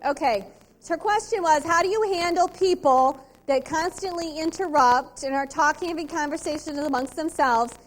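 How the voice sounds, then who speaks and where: A middle-aged woman, giving an upbeat, precise and animated sales training talk at a convention. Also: high-pitched, moderate pace.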